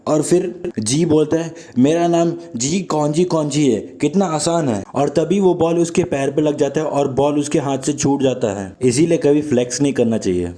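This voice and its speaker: A man's voice: on the high side, normal speed, warm tone. A young man with a sore throat, casually recapping an anime and reading the characters' lines in his plain voice. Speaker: anime explainer narrator.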